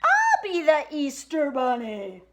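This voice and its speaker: A woman voicing a silly, excitable papa bear with big inventive ideas, exclaiming boastfully in a storybook reading. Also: high-pitched, slow, heavy background noise, voice pushed up, full character voice. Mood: excited, inspired.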